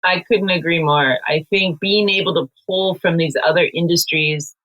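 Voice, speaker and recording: A woman with a low voice speaks as a sustainability partner on a panel. She agrees readily and builds quickly on what others have just said. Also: steady tone, fairly bright tone, low-quality recording.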